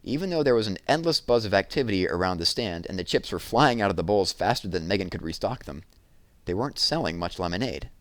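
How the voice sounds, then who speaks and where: A man narrating a children's novel aloud in an expressive storytelling style. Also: low-pitched, fast, dry recording.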